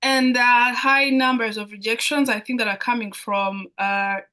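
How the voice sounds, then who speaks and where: Bright-voiced young Ugandan woman, high-pitched. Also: fairly even intonation, moderate pace. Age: young adult. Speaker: international student guest speaker on a Q&A. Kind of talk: explanatory talk in a live online Q&A.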